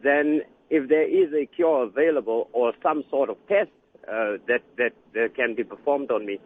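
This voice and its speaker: A South African man gives his view as a radio caller. His mid-pitched voice comes through a thin, narrow telephone line, in plain conversational speech. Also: moderate pace. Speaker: phone-in caller. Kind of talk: conversational opinion.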